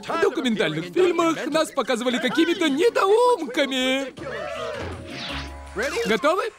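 A man voicing an excitable bird TV reporter in a dubbed cartoon, with a brisk, showy presenter's delivery. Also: very high-pitched. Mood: showy, excited. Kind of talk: cartoon dub. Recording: music under the voice.

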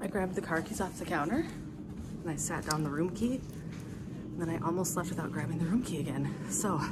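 A middle-aged mother talks casually into her phone as she drives and walks through a hotel and parking lot. Her voice sits a little low, and the phone picks up plenty of surrounding noise. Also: expressive. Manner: chatty, a bit rushed while walking and carrying things. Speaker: vlogger, mother of teenagers. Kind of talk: casual on-camera chat. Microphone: phone held at arm's length. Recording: phone audio with clear background noise.

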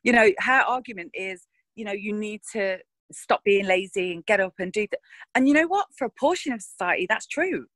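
A woman's voice: mid-range voice, lively intonation, fairly quick, fairly bright tone, no echo. An actress talking frankly in a casual interview, thinking aloud and restarting her sentences.

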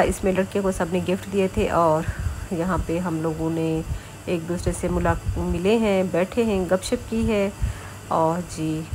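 A middle-aged woman, chatting through a vlog voice-over with a sore, hoarse throat, coughing now and then and pausing often. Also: mid-range voice, slight background noise, low-quality recording. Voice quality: coughs between phrases. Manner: chatty, explanatory, with many pauses and fillers. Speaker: vlogger.

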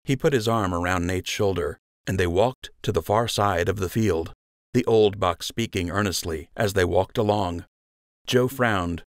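Low-pitched male storyteller, dry sound. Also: a little slow, no room sound. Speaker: audiobook narrator. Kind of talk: scripted storytelling narration.

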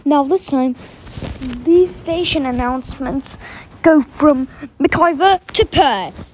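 A woman narrating a train ride video in a casual way, echoing the announcements and calling out as the train moves. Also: takes it slowly. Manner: repeats announcements, excited exclamations. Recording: loud rumbling train noise. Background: rumbling train noise.

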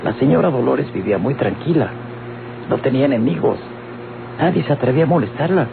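An actor with a Mexican accent playing a courteous, scheming man in an old radio drama, speaking deliberately and insinuatingly. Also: mid-range voice, lively intonation, creaky, narrow, tinny audio. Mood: accusing. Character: courteous, scheming man pressing women to sell. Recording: noisy, band-limited old radio recording.

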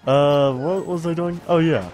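A man's voice: fairly high pitch, unhurried, a little mellow, faint music behind. Stuffy-sounding young man rambling over gameplay. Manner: rambling, distracted, lots of fillers, humming. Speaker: let's play host. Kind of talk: casual gameplay commentary. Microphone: studio mic.

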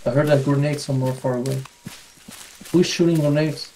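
A man's voice: on the high side, fairly even intonation, brisk, dark tone, a little hiss or hum, poor audio. A young man from Portugal with a Portuguese accent, chatting with teammates during a multiplayer game. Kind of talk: casual multiplayer game chat.

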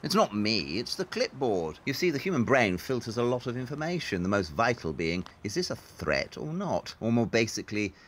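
A man's voice: medium pitch, very animated, normal speed, few pauses, fairly bright tone, slight background noise. An actor playing a brilliant, self-assured male detective in an audio drama, explaining things in a clipped, superior, matter-of-fact way. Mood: smug, explaining.

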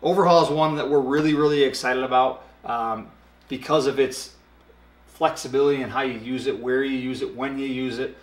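A man in his thirties casually explaining a product in a dark studio, talking with lots of hand gestures and filler ums. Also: mid-range voice, steady tone, brisk. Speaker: product expert. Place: dark studio set, indoors. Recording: roomy echo.

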